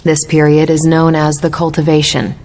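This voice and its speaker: A woman's voice: low-pitched, monotone, moderate pace, a little mellow, slight background noise. An actress playing a confident military superhero woman in a video game, explaining things in an assured, lightly teasing way. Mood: instructive. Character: confident adult superhero woman, sharp patient military mentor.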